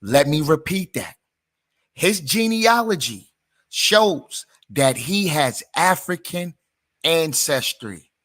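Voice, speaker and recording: Guy doing slow drawn out commentary on his channel, bright voice. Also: on the high side, sing-song. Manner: slow, emphatic, drawn-out delivery. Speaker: channel host.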